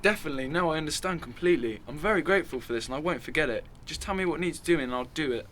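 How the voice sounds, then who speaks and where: A man's voice: fairly high pitch, animated, racing, a bit bright, slight background noise. Man playing a casual, hesitant working-class teenager. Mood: grateful, earnest. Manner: informal, hesitant. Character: polite, down-to-earth working-class teenage boy. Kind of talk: radio drama acting.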